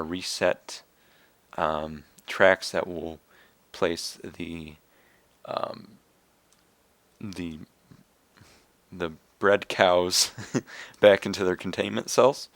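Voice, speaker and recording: A young man talks unhurriedly through a game tutorial, pausing on fillers as he goes. His low voice is raspy and a little hoarse, yet comes through smooth and close on a dry, roomless desk mic. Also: no room sound. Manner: relaxed, explaining step by step with fillers. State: hoarse from coughing. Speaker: video game tutorial maker. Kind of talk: casual screen-recorded game tutorial.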